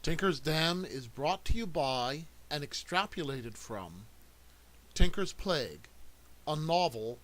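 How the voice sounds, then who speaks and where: A man's voice: fairly high pitch, animated, fairly bright tone, low-quality recording. A man reading the credits and closing announcement of a radio play. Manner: slow, deliberate. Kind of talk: scripted announcement.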